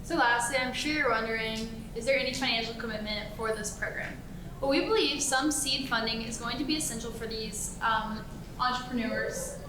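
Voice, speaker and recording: A young woman with a higher voice gives a student presentation. It is recorded in an echoey room with noticeable noise. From North Carolina, United States. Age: young adult. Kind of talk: group project presentation.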